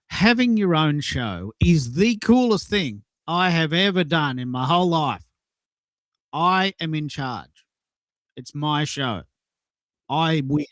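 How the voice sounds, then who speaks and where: A man's voice: a little high, fairly bright tone, no echo. A man in his sixties hosting a conversational round-robin talk show, putting questions to guests. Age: 60s. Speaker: show host.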